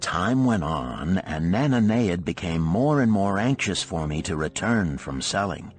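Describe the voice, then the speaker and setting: A man's voice: low-pitched, sing-song, measured pace, faint music behind. A man reading a story aloud as scripted audiobook narration.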